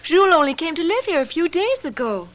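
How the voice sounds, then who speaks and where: A woman acting in a radio drama as an affectionate, excitable young fiancée, with an emotive, theatrical delivery. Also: extremely high pitch, quick pace. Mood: soothing, coaxing.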